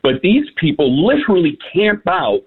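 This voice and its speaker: New guy in his 50s calling a radio show, wry and opinionated, husky voice over a tinny phone. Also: high voice, big pitch swings, moderate pace. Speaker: radio caller. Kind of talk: call-in radio chat.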